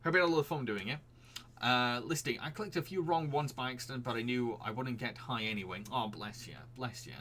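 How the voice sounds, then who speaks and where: A man's voice: mid-range voice, a little fast, pushed and bright, a little hiss or hum. A British man with an accent that mixes Yorkshire and a posh Received Pronunciation sound, hosting a live stream quiz in a chatty, giggly way and answering his chat. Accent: Yorkshire mixed with posh Received Pronunciation.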